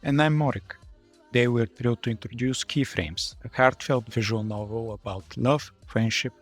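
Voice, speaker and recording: A man's voice: mid-range voice, moderate pace, soft background music. A man co-hosting a podcast interview. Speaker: podcast host.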